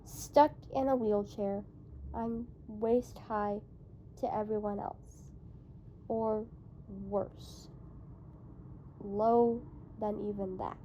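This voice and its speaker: Woman, amateur audiobook-style reader. Very slow, halting, soft mellow tone, slightly high pitch, faint background noise. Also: fairly even intonation, poor audio. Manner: halting delivery, rereads stumbled phrases. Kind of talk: read-aloud of a verse novel.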